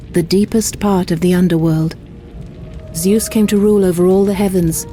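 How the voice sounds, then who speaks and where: A woman voicing a solemn, wise goddess in a video game, telling myths in a measured, portentous way. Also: mid-range voice, mellow, natural voice. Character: solemn, wise goddess guiding a mortal hero. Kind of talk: scripted dramatic narration of myth. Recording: music behind the voice.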